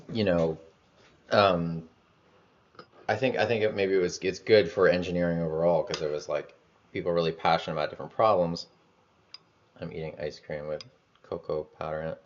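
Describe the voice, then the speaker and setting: A man with a very low voice muses out loud in a relaxed, rambling flow, packing his talk with fillers and a casual curse now and then. The sound is dull and lo-fi. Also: moderate pace, pauses often. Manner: loose, filler-heavy, swears casually. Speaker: vlogger.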